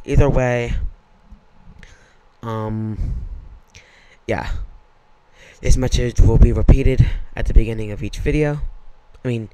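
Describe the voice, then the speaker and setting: Smooth, clear-voiced man, hesitant and rambling. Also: mid-range voice, a little mellow, low-quality recording. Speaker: video creator. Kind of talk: casual spoken channel intro.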